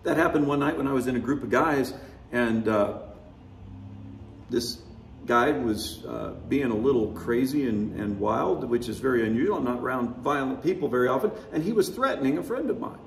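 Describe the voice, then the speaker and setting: A man's voice: mid-range voice, roomy sound, distant mic, a little hiss or hum. A man preaching a sermon, conversational and wry, often chuckling at his own remarks. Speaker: pastor. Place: church sanctuary.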